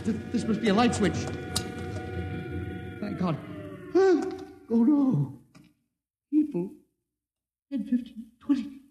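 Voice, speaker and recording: In an echoey old radio drama, a timid middle-aged man in a panic. His very high voice stammers and pleads frantically. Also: very animated, slow pace, long pauses, warm tone, faint music behind, full character voice. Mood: shaken bewilderment. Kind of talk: 1950s radio drama acting.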